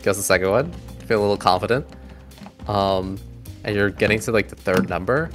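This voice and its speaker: A man narrating a tabletop game as game master, improvising casually and haltingly. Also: on the low side, faint music behind.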